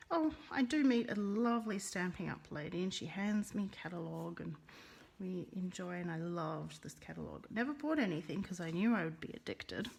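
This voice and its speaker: Russian-accented woman, crafts teacher, friendly live-stream patter, rolled R's, mid-pitched. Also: vocal fry, a little hiss or hum. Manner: chatty, greets viewers, rolls her R's. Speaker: card-making teacher.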